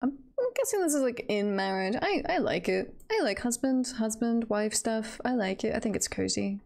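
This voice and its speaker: A woman's voice: a little high, expressive, normal speed, gravelly, a little mellow. A woman livestreamer chatting casually and hesitantly as she talks through tier lists. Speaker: streamer.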